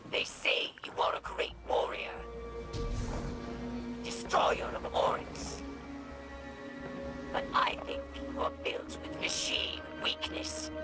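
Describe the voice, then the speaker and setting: Rasping, whispery alien warrior general played by an actor. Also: slow, pauses often, loud music behind the voice, lightly acted. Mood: contemptuous challenge. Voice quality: rasping, breathy, growling. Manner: taunting, clipped menacing phrases. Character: fierce proud alien warrior general, hungry for battle. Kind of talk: video game villain dialogue.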